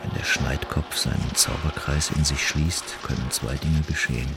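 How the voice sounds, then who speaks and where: A man reads a scripted literary text as narrator in a solemn, measured, declamatory way. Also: a bass voice, fairly even intonation, vocal fry, over loud music. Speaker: narrator reading quoted texts.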